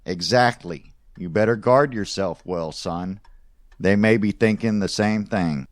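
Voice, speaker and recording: A fairly low voice plays an older boss acted by a man. He is measured and commanding, with a stern fatherly air, in a dystopian audio drama. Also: natural voice. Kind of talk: post-apocalyptic audio drama acting.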